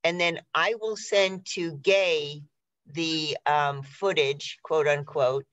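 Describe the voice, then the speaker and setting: A woman's voice: sits low, steady tone, slow, a bit bright, dull audio. A woman hosting a radio talk show, explaining things in a casual, rambling way. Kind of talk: casual chat.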